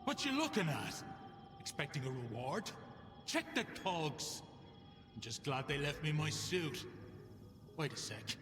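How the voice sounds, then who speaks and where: A man's voice: on the high side, very animated, measured pace, pauses often. A man playing a bitter, sardonic, foul-mouthed scavenger in a video game. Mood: bitter, irritated. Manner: sardonic, coarse. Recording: echoey reverberant space under music.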